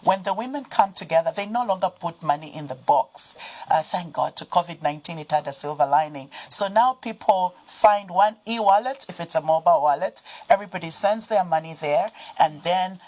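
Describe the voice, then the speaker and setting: A woman's voice: fairly low pitch, a little fast, darkish tone, rough recording. A woman with a Zambian accent answering as a panel guest, explaining in a conversational, emphatic way.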